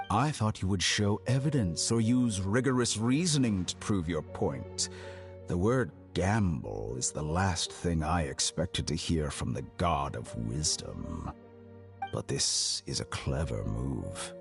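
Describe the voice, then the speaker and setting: An arrogant scholar villain for a video game, with a low voice that swings expressively through measured, unhurried phrases. He sounds coolly amused, formal and condescending. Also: big pitch swings, gravelly, soft background music, heavily acted. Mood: amused, calculating. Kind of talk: theatrical video game voice acting.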